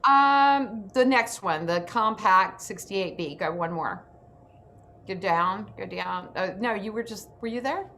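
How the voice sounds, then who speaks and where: A woman's voice: medium pitch, animated, measured pace, a bit bright. A woman hosting an informal online workshop, speaking enthusiastically as she instructs over a video call. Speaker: host and acting self-tape instructor. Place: indoor room on a video call. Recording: background noise on a video call.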